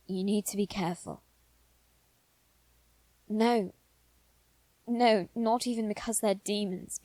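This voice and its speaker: Haughty, protective boy noble female actor, measured and halting, intimate dry audio roleplay. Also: medium pitch, warm tone, no echo. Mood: grave warning. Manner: hesitant, halting, repeating phrase openings. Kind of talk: scripted ASMR roleplay spoken to the listener.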